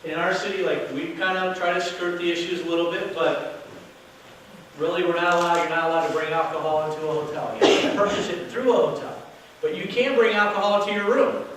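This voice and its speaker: A man giving a loud, casual workshop talk to an audience as a hotel sales director, with no microphone. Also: high voice, fairly even intonation, brisk. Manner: casual, chatty, with fillers. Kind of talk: workshop presentation to a live audience. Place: meeting room with an audience. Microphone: distant camera, no microphone. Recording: very echoey room from far off with noise.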